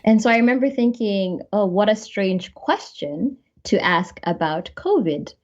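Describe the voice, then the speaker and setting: A woman with a Kenyan accent tinged with American, a journalist guest telling stories in a relaxed way with fillers. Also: medium pitch, a little slow, highs cut off. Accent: Kenyan with American traces. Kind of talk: casual podcast interview.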